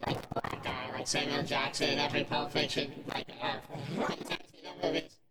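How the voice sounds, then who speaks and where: A man's voice: fairly high pitch, fairly even intonation, normal speed, very noisy. A man chatting casually and jokingly on a podcast, slangy and profane, peppering his talk with bro and dude. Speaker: podcast guest and co-host.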